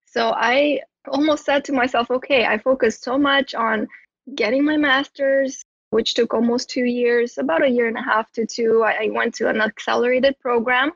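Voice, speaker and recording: A woman's voice: a little high, fairly even intonation, normal speed, creaky, slightly rough, no echo, dull audio. A woman, a therapist talking as a guest in an interview, rambling with many restarts. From Romania. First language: Romanian. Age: 30s. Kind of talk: conversational interview.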